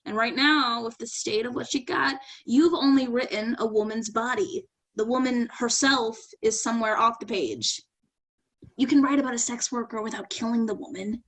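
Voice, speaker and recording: A woman's voice: fairly high pitch, normal speed, fairly bright tone, poor audio. A woman playing a blunt, dryly sarcastic critic in a staged play reading. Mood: blunt, critical. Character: blunt, sardonic woman critiquing a would-be writer's work. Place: remote virtual staged reading.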